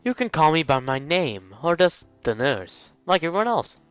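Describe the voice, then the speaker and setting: A man's voice: fairly high pitch, very animated, normal speed, smooth, no echo, dull audio, soft background music. A man playing a friendly, breezy young male school nurse in a visual novel, upbeat and casually reassuring.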